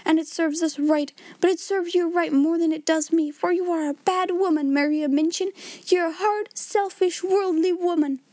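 A woman reader puts on a timid middle-aged sister who has finally rebelled. Her voice climbs high and runs on with overwrought, hysterical feeling. It is storybook acting, heard very dry and close. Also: steady tone, brisk, dark tone, dry recording. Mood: hysterical, accusing. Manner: breathless, emotional outpouring.

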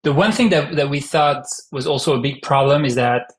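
A man's voice: medium pitch, brisk, a little hiss or hum. A man in his thirties from France with a heavy French accent, a startup founder speaking in an interview, hesitant with many fillers. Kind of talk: casual interview talk.

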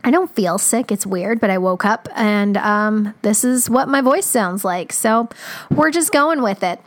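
A woman's voice: mid-range voice, unhurried. A woman hosting a podcast, her voice a little scratchy today, talking in an upbeat, chatty way with many fillers. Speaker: podcast host. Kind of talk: casual podcast intro and interview.

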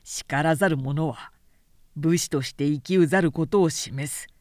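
A woman voicing a calm, principled male samurai in an audiobook, speaking quietly in a low, grave, formal and measured way. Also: dry recording, clean studio sound, lightly acted. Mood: stern, lecturing. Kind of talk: literary audiobook character reading.

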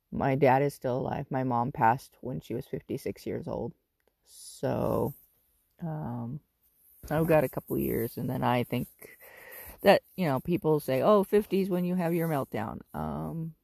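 A woman in her fifties from Northern California, raspy from smoke, talking about her life in a loose, rambling monologue full of ums and restarts. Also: a bass voice, warm tone, no echo. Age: 50s. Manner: rambling, with frequent ums and self-corrections. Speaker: podcast host. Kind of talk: casual personal monologue.

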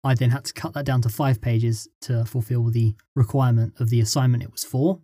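Mellow man in a dry interview. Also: mid-range voice, steady tone, a little fast, no room sound. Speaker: script writer being interviewed. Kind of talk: casual interview chat.

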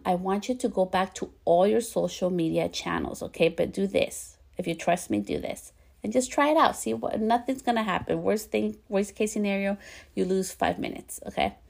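Gravelly low-voiced woman podcaster. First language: bilingual Spanish and English. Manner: fast-talking, stumbles over words; encouraging, coaching tone. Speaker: podcast host. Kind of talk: casual solo podcast talk.

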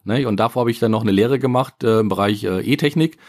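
A man's voice: lowish voice, fast, a little mellow. A man, a startup founder telling his story in a podcast interview, rambling with many fillers.

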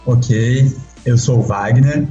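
A man in his thirties from southern Brazil, a podcast guest who speaks in a calm, matter-of-fact way about where he has lived. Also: medium pitch, slow pace, roomy sound, muffled recording, faint music behind. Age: 30s. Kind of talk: casual interview chat.

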